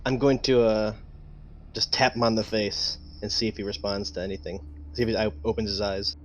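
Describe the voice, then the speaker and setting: A man's voice: lowish voice, flat delivery, fairly quick, a little hiss or hum. A man playing a tabletop roleplaying game, talking casually with hesitant phrasing.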